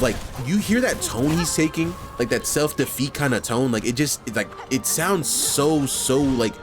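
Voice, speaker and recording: Animated, casual male commentator over music. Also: medium pitch. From New York, USA. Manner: casual, heartfelt, filler-heavy, swearing. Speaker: commentary channel host. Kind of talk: reaction commentary.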